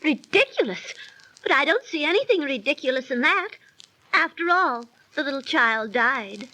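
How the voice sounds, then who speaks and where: Bright, high-voiced young niece. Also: female voice, very animated, normal speed, heavily acted, low-quality recording. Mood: sceptical. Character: questioning young niece, sceptical and impatient. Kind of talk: 1930s radio drama acting.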